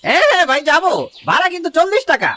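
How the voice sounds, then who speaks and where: A woman's voice: very high-pitched, big pitch swings, racing, fairly bright tone, heavily acted. A woman voicing a put-upon, complaining male jackal auto-rickshaw driver in a cartoon. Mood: obliging. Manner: complaining, self-pitying. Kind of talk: cartoon voice acting.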